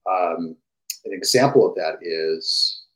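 Deep-voiced middle-aged man, rambling. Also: slow, rough recording. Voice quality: fairly deep voice. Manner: enthusiastic, rambling with fillers and restarts. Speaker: guest, game industry executive. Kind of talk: casual podcast chat. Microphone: gaming headset.

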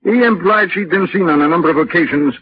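An actor playing a courteous, righteous employer with a hesitant, formal manner in 1940s radio style. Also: male voice, high-pitched, fast talker, natural voice. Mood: awkward, troubled. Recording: muffled, noisy vintage radio broadcast.

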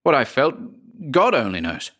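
A man playing a rattled, indignant Englishman lodging in a haunted house, speaking in a sharp, exasperated manner. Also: medium pitch, wildly swooping pitch, moderate pace, pitched above the performer's own voice.

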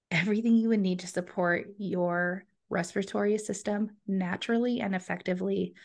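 A woman co-hosting a podcast, chatting enthusiastically and speaking straight to her listeners. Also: mid-range voice. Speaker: podcast co-host. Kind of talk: casual podcast chat.

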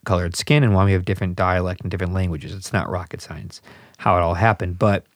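A man's voice: deep voice, steady tone, fast talker, no room sound, studio quality. A man with a Chicago accent hosting a casual chat in a joking, teasing way. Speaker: podcast host, interviewer.

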